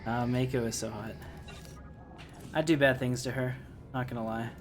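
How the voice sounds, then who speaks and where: A man's voice: medium pitch, fairly even intonation, normal speed, noisy background. A man doing casual, joking, rambling live commentary while playing a video game. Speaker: game streamer. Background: video game music.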